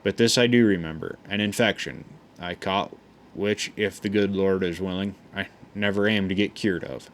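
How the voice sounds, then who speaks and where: Slow folksy man reading a memoir. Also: sits low, steady tone, a little hiss or hum. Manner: unhurried, folksy, with frequent stumbles and restarts. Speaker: audiobook reader.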